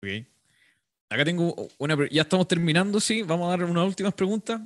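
A man, calmly reading audience questions as moderator on a video call. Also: high-pitched, quick pace. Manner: calm, brief, hesitant restarts. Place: small room indoors. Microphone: video call, headset.

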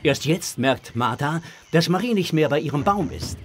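A man's voice: fairly high pitch, expressive, normal speed, over loud music. A man narrating a scripted audio drama for children.

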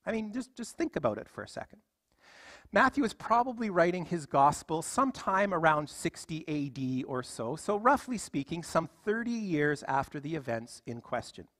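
A man's voice: on the high side, very animated, normal speed, a bit bright, no room sound. A man in his thirties preaching a sermon from a church stage, emphatic and full of rhetorical questions. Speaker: pastor. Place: church stage with music stands.

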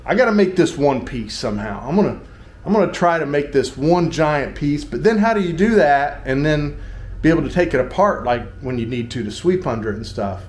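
A man's voice: on the high side, lively intonation, brisk, darkish tone. A man in his fifties with an American accent, chatting casually and thinking aloud as he demonstrates DIY, in a folksy, joking way. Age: 50s. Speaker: vlog host. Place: small tiled living room indoors. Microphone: handheld action camera, varying distance. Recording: echoey room, clear background noise.

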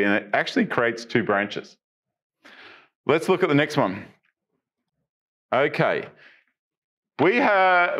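A man's voice: mid-range voice, fairly bright tone, highs cut off. A middle-aged man walks through developer tool news on a studio stage, speaking into a headset mic. He keeps it chatty and loose, tossing questions to his viewers and stumbling over restarts and ums as he goes. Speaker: tech news presenter. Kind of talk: casual tech walkthrough. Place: studio stage with dark backdrop.

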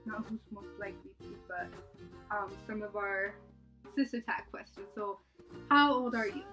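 A woman's voice: medium pitch, normal speed, pushed and bright, soft background music. A woman in her twenties chatting casually and laughing often as she hosts a sister tag video from her bedroom. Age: 20s. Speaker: YouTube channel host. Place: bedroom, seated on a couch.

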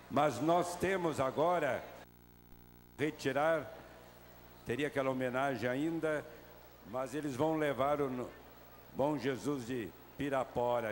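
Slow-speaking bishop in a huge reverberant hall. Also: male voice, mid-range voice. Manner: slow, measured and familiar with the crowd. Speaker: bishop leading Mass. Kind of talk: celebrating Mass, closing rites and blessing. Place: huge covered hall full of worshippers. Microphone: handheld microphone. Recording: long reverb of a large hall, faint noise.

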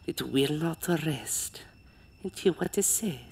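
A woman doing a gravelly old medium, slow and ominous. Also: low voice, expressive, a little mellow, full character voice. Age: older. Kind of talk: audio drama acting.

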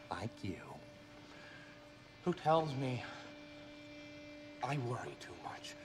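An unhinged criminal who speaks very slowly in a hushed, half-whispered way. His voice sits low and menacing, over a clear mains hum. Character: menacing unhinged male criminal, quiet and creepy.

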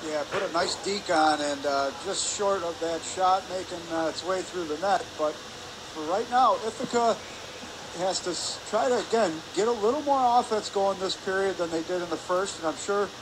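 A man giving live colour commentary at a hockey game, explaining play in a measured, conversational way. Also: sits high. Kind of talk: live sports analysis. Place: indoor ice hockey arena. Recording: clear rink noise behind. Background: arena ambience and noise.

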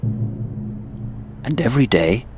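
An actor playing a brooding crusade veteran, telling his war in a measured, ominous first-person storytelling style. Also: medium pitch, sing-song, a little mellow. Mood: measured, grim. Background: music.

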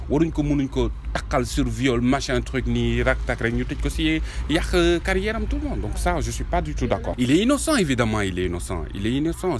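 A man in his thirties with a Senegalese accent giving a casual, opinionated interview, insistent and repeating his phrases. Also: mid-range voice. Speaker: street interviewee. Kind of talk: casual opinionated vox pop, mixing two languages. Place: clothing stall by a street. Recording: clear traffic and street noise.

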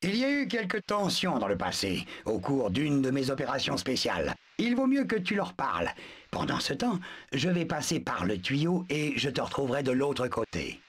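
Self-important military animal, male, lively pitch, breathy edge. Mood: commanding. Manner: brisk, commanding, self-important. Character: bossy military-minded male zoo animal. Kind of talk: video game dubbing.

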